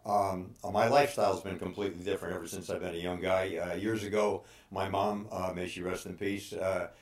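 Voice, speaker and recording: Male, 60s, lowish voice, hesitant personal trainer talking informally, recorded in an echoey room. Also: little pitch movement. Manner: halting, hesitant delivery with frequent pauses. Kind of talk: informal advice talk about his own training. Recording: roomy, echoing room sound.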